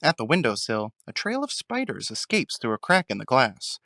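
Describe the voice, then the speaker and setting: A man narrates an audio drama from a script. His voice is mid-pitched and sounds close to the mic, with a very dry, clean room tone. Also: huge pitch swings, normal speed, gravelly, bright, forceful tone, no room sound. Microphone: close mic, dry recording.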